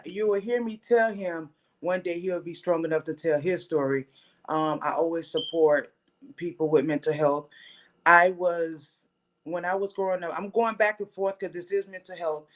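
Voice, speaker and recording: A woman in her thirties, Haitian Creole her first language, sharing her personal story as a podcast guest in an earnest, pausing way. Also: lowish voice. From Haitian family, raised in Florida. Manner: earnest, pausing, self-correcting.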